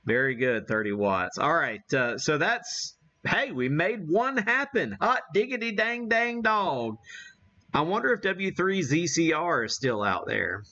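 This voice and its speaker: A man's voice: fairly high pitch, big pitch swings, unhurried, sharp tone, highs cut off. An American man hosting a casual live radio demonstration, thinking aloud in a folksy way. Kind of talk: casual live-stream chat while operating.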